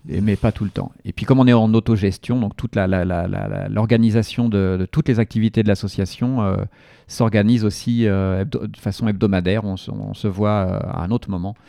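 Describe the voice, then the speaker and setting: A man's voice: on the low side, fairly even intonation, brisk, vocal fry, warm tone, no echo, clean studio sound. A man from a community TV association explaining its work in a radio interview, hesitant and full of restarts.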